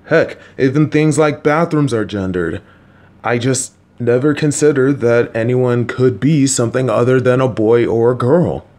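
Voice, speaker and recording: Casting: a man playing a middle-aged dad, awkward and kind, unhurried delivery. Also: mid-range voice. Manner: slow, halting, warm dad jokes. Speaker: voice actor playing a father. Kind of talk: audio roleplay.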